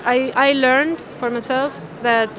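A woman, talking haltingly and thoughtfully about her artwork, often tagging phrases with "no?". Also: high voice, steady tone, fairly bright tone. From Mexico. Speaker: artist. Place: large indoor art fair hall. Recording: crowd chatter in a busy hall.

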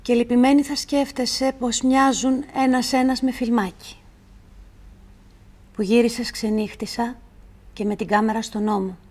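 A woman reciting literary poetry in a measured, deliberate way, with pauses between phrases. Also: medium pitch, darkish tone. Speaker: poetry reader.

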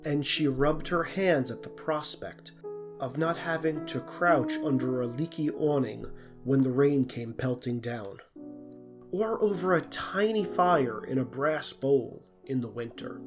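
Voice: mid-range voice, a little slow. A narrator reading a scripted storybook tale. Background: plucked string music.

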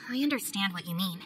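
A woman voicing a calm, dutiful young female ninja in a video game, speaking in a measured way. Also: medium pitch, background noise, lightly acted.

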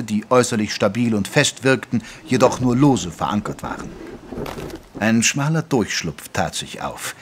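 A male actor plays a detective's companion telling the tale in an audio drama. He is wry and literate in a mid-pitched voice, with faint noise behind him. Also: close to an everyday voice. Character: detective's gentlemanly doctor companion, narrating with wry wit. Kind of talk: dramatic scripted narration.

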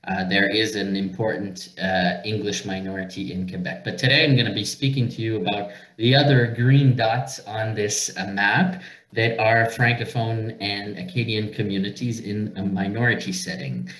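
A man from Northern Ontario, a native French speaker with a Canadian French accent, giving a webinar talk in a halting way, full of "uh" as he searches for words. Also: on the low side, a bit bright. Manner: hesitant, many filler uh's, searching for words. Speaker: organization director, webinar speaker. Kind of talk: presentation on a video call. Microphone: video call. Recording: echoey room on a video call.

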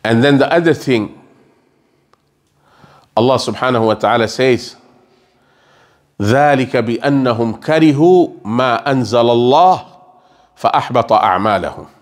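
A man's voice: mid-range voice, very fast, pauses often. Earnest middle-aged male religious lecturer. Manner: explains earnestly, repeats phrases, gestures with hands. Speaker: religious scholar. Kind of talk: sermon lecture with Quran recitation. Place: mosque lecture room, indoors. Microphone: stand mic on table.

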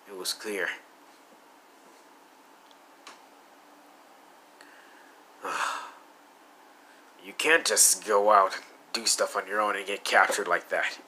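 A man voicing a possessive young male villain in a fan audio roleplay, speaking softly and drawling, both teasing and worried. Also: on the low side, slight background noise. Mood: stern, worried.

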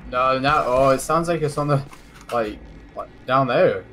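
A man's voice: medium pitch, a little slow, a bit bright, a little hiss or hum, lightly acted. A man playing a nervous, chatty novice lumberjack in an online game roleplay, hesitant and repeating his words. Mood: uneasy.

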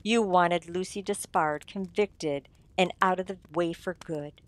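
A woman's voice: fairly low pitch, unhurried, dry recording, natural voice. A woman playing a sly, gloating sleuth in a radio mystery, with a theatrical, mocking drawl. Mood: accusing, taunting. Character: sly, gloating amateur sleuth taunting a poisoner.

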